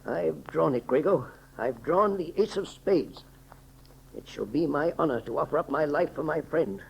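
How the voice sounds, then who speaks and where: A man acting a grave, self-sacrificing scientist in a formal, deliberate 1930s radio serial style. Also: fairly high pitch, lively intonation, gravelly, husky, muffled recording, full character voice. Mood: solemn resolve. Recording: noisy, humming 1930s radio serial recording.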